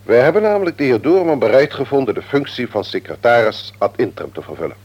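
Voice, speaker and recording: An actor playing a formal, authoritative company director in a radio play, speaking in stiff, measured official phrases. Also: male voice, mid-range voice, sing-song, a little mellow, husky. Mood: formally stern. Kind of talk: Dutch radio play acting. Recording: dull lo-fi old radio recording.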